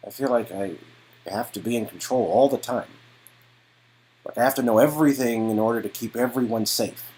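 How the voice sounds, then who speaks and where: A man acting out a guarded adult man from a game, protective and wry, who opens up in halting, earnest confessions. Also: mid-range voice, darkish tone, voice pushed up, natural voice, poor audio. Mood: earnest, vulnerable. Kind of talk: dramatic game dialogue performance.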